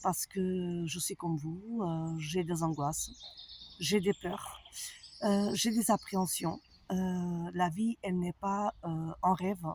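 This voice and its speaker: A woman with a foreign accent, a holistic therapist talking to her followers in a slow, halting way with many pauses and fillers. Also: on the low side, fairly even intonation, a little mellow, a little hiss or hum. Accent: foreign accent in French. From abroad, not France. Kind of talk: personal monologue to followers.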